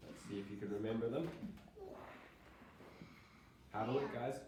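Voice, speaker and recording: A man's voice: mid-range voice, moderate pace, a little mellow. A man teaching a primary school class, explaining words simply and patiently and reading short examples aloud, with encouragement for the pupils. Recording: echoey classroom, distant mic, faint noise.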